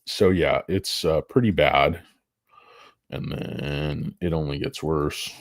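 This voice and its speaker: A man's voice: a bass voice, takes it slowly, dry recording, clean studio sound. A man hosting a casual stock commentary, rambling, crude and sarcastic as he talks through charts and filings. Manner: rambling, crude and sarcastic, with mocking put-on voices. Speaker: stock market video host.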